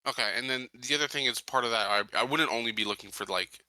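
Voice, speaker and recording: A man's voice: medium pitch, quick pace, bright, forceful tone, no echo, low-quality recording. A man chatting casually as a player in a tabletop game, hesitant and piecing his thoughts together with many fillers.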